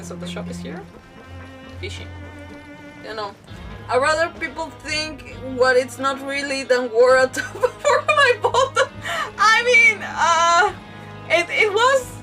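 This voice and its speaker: A woman chats casually on a game livestream in a high voice. She rambles and repeats words for emphasis. She sounds slightly away from the mic, with faint game music behind. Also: distant mic, poor audio.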